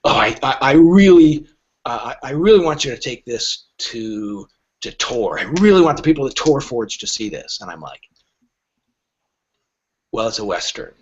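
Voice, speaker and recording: A middle-aged or older man gives candid, emphatic interview answers, his pitch swinging widely as he acts out imagined exchanges. His voice is somewhat husky rather than crisp. The podcast recording is dull and lo-fi. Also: very animated, normal speed. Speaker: literary agent interviewed as a podcast guest.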